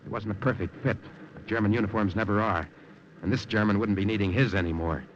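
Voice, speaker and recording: 1950s radio thriller: anxious, worn-out secret agent, male actor, low pitch, noisy old broadcast. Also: fast, lo-fi sound, close to an everyday voice. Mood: tense, haunted. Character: weary, anxious American undercover agent behind German lines, recounting his story. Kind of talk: 1950s radio drama acting.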